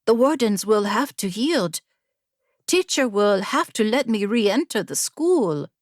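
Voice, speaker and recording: A woman's voice: medium pitch, very animated, takes it slowly, no room sound, clean studio sound. A woman narrator voicing a determined young female aspiring warrior in an audiobook, resolute and earnest. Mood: defiant. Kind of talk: audiobook character dialogue.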